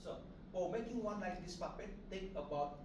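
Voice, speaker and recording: An Indonesian-accented man with a slightly high voice gives an informal craft demonstration. He speaks simple, broken English in short phrases. He is recorded at a distance in an echoey room with loud noise behind him. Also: expressive, moderate pace. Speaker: puppet maker and master giving a demonstration. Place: puppet studio, indoors.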